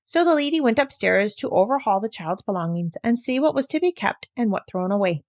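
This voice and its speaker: A woman reads a children's story aloud as narrator. Her voice is on the high side. The recording is dry, with very little room around it. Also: expressive, a little fast, dry recording. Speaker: audiobook narrator.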